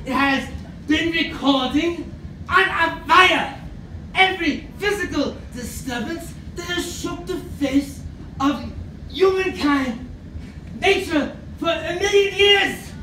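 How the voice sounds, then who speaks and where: A woman's voice: very high-pitched, takes it slowly, pushed and bright, exaggerated voice. A woman acting an obsessed, secretive professor in a stage version of a radio play, with a dramatic, emphatic delivery. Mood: fervent, grandiose. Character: obsessed, secretive professor hunting a buried ancient machine. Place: theatre stage, picked up from afar. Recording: roomy, distant stage recording with faint noise.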